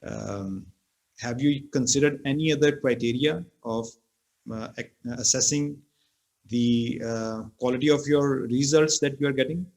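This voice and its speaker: A middle-aged man, chairing a conference Q&A over a video call in a measured way, with fillers. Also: medium pitch, vocal fry, a little mellow. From Pakistan. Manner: measured, with fillers and repeated phrases. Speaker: session chair. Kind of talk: moderating a conference Q&A. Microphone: laptop mic on a video call.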